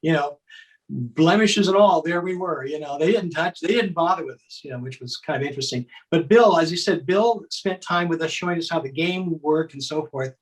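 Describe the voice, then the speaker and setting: A man's voice: on the high side, moderate pace, low-quality recording. A man casually reminiscing as a guest about his time on a show. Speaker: guest, former participant on a children's TV show.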